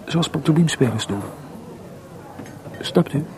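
An actor playing a melancholy, restless composer in a Dutch radio play, hesitant and searching for words, with theatrical delivery. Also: male voice, fairly high pitch, animated, unhurried, noisy background. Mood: despondent, searching. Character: Mozart, restless, melancholy composer, proud but adrift.

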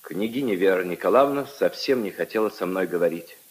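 An actor playing a lovelorn minor official, resigned, earnest and polite, in a solemn 1950s radio drama style. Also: male voice, deep voice, animated, brisk. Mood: resigned sorrow.

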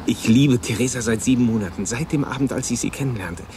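A man's voice: mid-range voice, fast, natural voice. An actor playing an earnest, lovestruck, unsure teenage boy in a German radio play, speaking hesitantly and confidingly. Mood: shy, confiding. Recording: noisy outdoor-like ambient sound behind.

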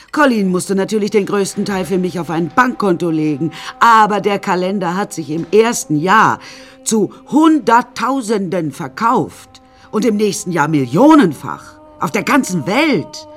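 A woman's voice: mid-range voice, close to an everyday voice. A vain, self-important woman played by an actress addresses her listeners in a radio play. Her middle-aged voice swoops widely and theatrically, full of deluded grandeur, with some music in the recording. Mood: gushing, boastful. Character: vain, deluded woman artist confined in an asylum.

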